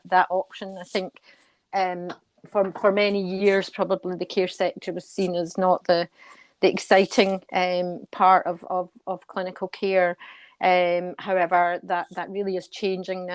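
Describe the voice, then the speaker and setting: Low-voiced, even-toned woman being interviewed. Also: dry recording. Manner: measured, earnest, halting with repeated words. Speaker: guest, care charity board member. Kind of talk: radio interview answers.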